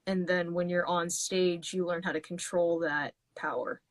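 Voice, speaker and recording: A woman's voice: lowish voice, fairly even intonation, measured pace, no room sound. A woman musician, chatting on a video call as an interview guest, talking in loose, rambling sentences with many restarts. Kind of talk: casual interview chat.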